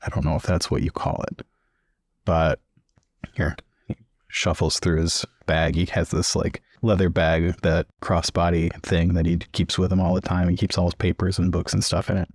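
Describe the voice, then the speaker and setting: A man improvising in character and narrating as a player in a tabletop game, measured and careful. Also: low voice, level tone, darkish tone, dry recording, studio quality. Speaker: player in a tabletop role-playing podcast.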